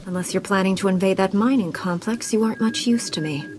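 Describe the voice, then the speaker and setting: A woman's voice: mid-range voice, fast, noisy background, natural voice. An actress voicing a defiant, merciless female Jedi knight in a video game, with curt, dry and sardonic delivery. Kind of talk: video game cutscene acting.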